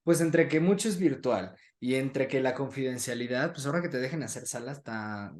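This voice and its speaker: Male interviewer, medium pitch. Colloquial and conversational, often checks in with tag questions. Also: expressive, a little fast, darkish tone. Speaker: interviewer, host.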